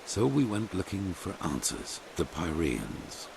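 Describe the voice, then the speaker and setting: An actor playing a grave old grandmaster of a holy order in a fantasy game, speaking in a solemn, formal, measured storytelling manner. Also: male voice, low voice, huge pitch swings, creaky, background noise, heavily acted. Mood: solemn, haunted. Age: older. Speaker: video game character. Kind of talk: dramatic fantasy game dialogue.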